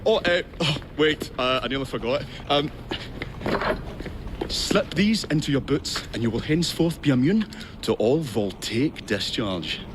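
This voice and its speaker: An actor as an eccentric inventor in a game. He is eager, bumbling and absent-minded, mid-pitched, with faint noise behind. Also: expressive, measured pace, close to an everyday voice. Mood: flustered eagerness. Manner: bumbling, hesitant. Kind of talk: video game character acting.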